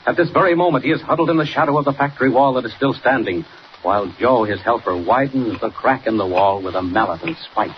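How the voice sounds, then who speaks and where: A muffled, noisy 1940s radio recording of a male announcer. His medium-pitched voice narrates a serial with melodrama and suspense. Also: expressive, fast talker.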